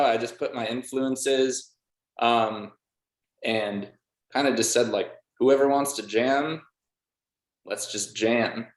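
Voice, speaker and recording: A man with a laid-back, rambling way of talking, full of "like", answering casually as a musician in an interview. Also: medium pitch. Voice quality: casual, filler-heavy speech with frequent "like". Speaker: band member and music producer being interviewed. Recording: roomy, echoey sound.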